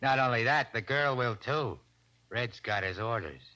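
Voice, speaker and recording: A tough, threatening gangster with a hard, forceful edge. He talks in brazen slang, in the manner of 1940s radio crime drama. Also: medium pitch, animated, moderate pace, lo-fi sound. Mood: menacing, cocky. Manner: slangy tough-guy talk. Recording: old radio drama broadcast.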